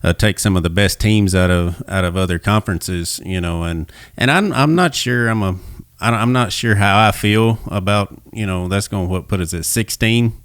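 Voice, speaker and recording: A man hosting a podcast, chatting casually about sports in a conversational, somewhat halting way. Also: fairly low pitch, fairly bright tone, clean tone, no room sound, studio quality. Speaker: podcast host.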